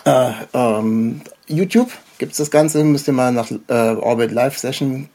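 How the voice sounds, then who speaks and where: A man hosting a casual interview, speaking in a hesitant, halting way as he puts his questions. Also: mid-range voice, dark tone. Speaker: podcast host, interviewer.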